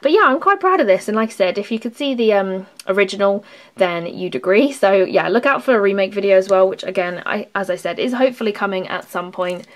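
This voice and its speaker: A young woman rambles through a casual show-and-tell, full of ums, likes and little restarts. Her voice sounds a bit strained, as if she is losing it, and her pitch sits in an ordinary middle range. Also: expressive, quick pace. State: losing her voice, sore throat. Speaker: craft channel host. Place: indoors at a craft table.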